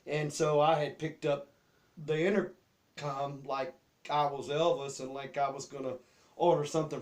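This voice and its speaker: Southern US man, middle-aged, slightly higher-pitched voice, folksy and rambling, informal storytelling with repeated words. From Memphis, Tennessee area. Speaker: host telling childhood memories. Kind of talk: casual personal storytelling.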